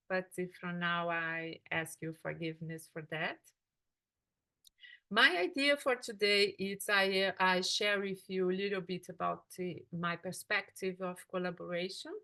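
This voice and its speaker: A woman's voice: fairly low pitch, bright, forceful tone. A Brazilian woman with a Portuguese accent, speaking English as a second language and searching for words as she leads a workshop meeting. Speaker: workshop facilitator. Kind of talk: online group meeting talk.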